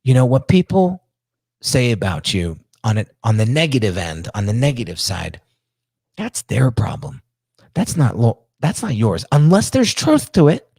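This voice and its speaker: A middle-aged man with a strong New Jersey accent and a deep voice, hosting a podcast and telling stories in casual, animated chat, often repeating and restarting words. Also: moderate pace, gravelly, slightly rough, dry recording, clean studio sound. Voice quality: deep register. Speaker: podcast host.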